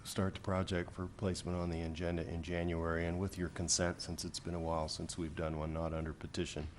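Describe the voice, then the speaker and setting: City staff guy with a super deep voice, flat monotone, steady and measured. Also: a little hiss or hum. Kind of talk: explaining procedure at a council meeting.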